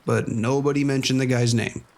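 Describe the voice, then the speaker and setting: An actor playing a patient male police officer, questioning an informant in a measured, skeptical way in an audio drama. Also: mid-range voice, steady tone, a little mellow, lightly acted.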